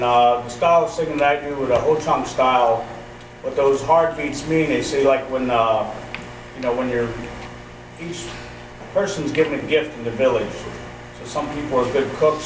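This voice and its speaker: A man at a middle pitch explains drum traditions to a group. He rambles and uses fillers. The sound is distant and echoey, with steady noise in the background. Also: normal speed. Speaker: drum leader.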